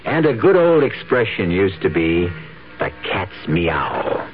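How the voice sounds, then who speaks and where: A man's voice: low-pitched, big pitch swings, takes it slowly, phone-quality sound. A man hosting a radio drama, narrating from a script in a theatrical, wry manner. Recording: thin band-limited radio, music behind. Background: music.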